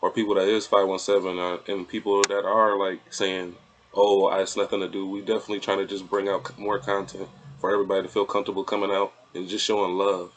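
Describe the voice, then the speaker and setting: A man's voice: deep voice, little pitch movement, fast talker, low-quality recording. A man, talking casually and laid-back as an interview guest, rambling a little. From Flint, Michigan, United States. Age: 20s. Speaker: interview guest, event promoter.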